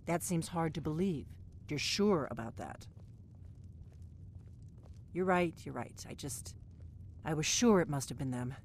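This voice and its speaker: An actress playing a wary middle-aged veteran warrior woman in a fantasy game, speaking in a brisk, matter-of-fact way. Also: lively intonation, darkish tone. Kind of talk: scripted fantasy game dialogue. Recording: noisy background with low rumble.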